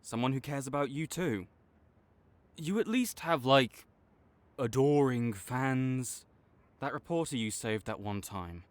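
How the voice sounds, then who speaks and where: A man's voice: medium pitch, expressive, measured pace, no echo, natural voice. A man acting a cocky, teasing but secretly caring supervillain rival in a dramatic audio roleplay, bantering and exasperated. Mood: grudgingly concerned. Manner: bantering, exasperated, faltering into awkward concern.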